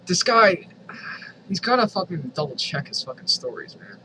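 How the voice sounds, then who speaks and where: A man's voice: sits high, sing-song, normal speed, pushed and bright, slight background noise, poor audio. A man reading a story aloud in a theatrical, comedic way, with incredulous asides. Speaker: host reading fan fiction aloud.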